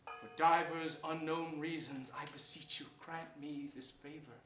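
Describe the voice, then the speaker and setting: A villainous duke in a Shakespearean stage play, heard from a distance in an echoing theatre. He is mocking and pleased with himself, declaiming every line slowly and deliberately. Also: on the high side, fairly even intonation, bright, forceful tone, soft background music. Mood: smooth pleading. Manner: declamatory, deliberate, with sardonic relish. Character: gloating, scheming villainous royal duke, mockingly self-admiring. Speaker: stage actor. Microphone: distant camera or stage mic.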